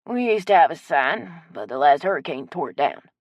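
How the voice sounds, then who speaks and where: A woman voicing a friendly, generous old man with a loud, drawling way of talking in an audiobook. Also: low voice, animated, moderate pace, dry recording, dull audio. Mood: folksy, hearty. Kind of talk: audiobook character voice.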